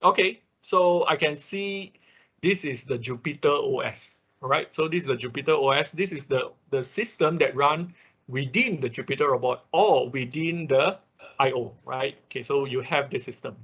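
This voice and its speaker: A man with a bright, forceful voice teaches an online class in a casual, step-by-step way. He keeps adding little confirming tags as he explains. The sound is dull, lo-fi call audio. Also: mid-range voice, moderate pace, slightly rough. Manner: frequent confirming tags like "right" and "okay". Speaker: instructor leading an online robotics class. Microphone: video call.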